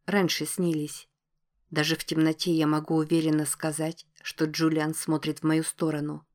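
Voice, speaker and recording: A woman narrates a first-person novel. Her voice sits fairly low and keeps to a narrow, steady pitch, in a scripted audiobook style. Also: normal speed.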